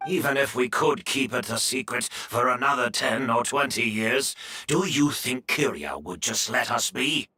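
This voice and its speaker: An actor playing a grave, resolute master in a video game, speaking solemnly and deliberately. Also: male voice, medium pitch, pushed and bright, soft background music. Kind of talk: dramatic video game voice acting.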